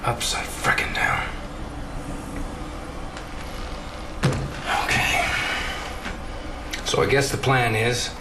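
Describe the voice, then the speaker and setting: A man's voice: medium pitch, little pitch movement, unhurried, lightly acted. A man playing a cynical, hot-headed loyal soldier in a game cutscene, blunt, colloquial and grumbling. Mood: sarcastic, exasperated. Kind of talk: dramatic game cutscene acting. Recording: noisy game audio with ambience.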